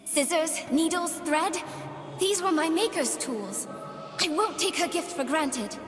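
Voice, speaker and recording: A sweet young doll come to life, a gentle video game fighter with a high voice. She speaks earnestly and wistfully, with a soft theatrical touch. The sound carries long reverb, with music behind it. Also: unhurried. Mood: earnest resolve. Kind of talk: video game character voice lines.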